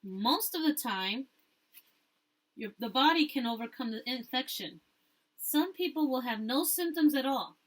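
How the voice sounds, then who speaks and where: A serious young doctor from a storybook, voiced by a woman reading aloud in a fairly high voice. He explains an illness gravely and plainly. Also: expressive, a little slow, a bit bright, full character voice.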